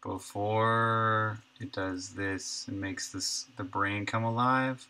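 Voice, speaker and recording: A man in his thirties, a game developer thinking aloud in a halting, musing way at his home desk while streaming his work. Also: fairly low pitch, steady tone, bright, forceful tone, clear, resonant tone. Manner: musing, halting, talks to himself.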